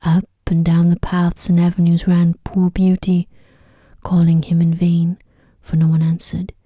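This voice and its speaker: A woman reads a bedtime story in ASMR style, soft, breathy and often whispering, gentle and unhurried, close to the microphone. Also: fairly low pitch, no echo.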